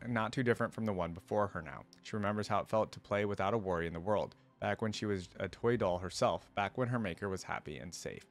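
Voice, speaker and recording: Low-voiced man reading and reacting. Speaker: reaction video host. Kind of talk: reading a story aloud with casual commentary.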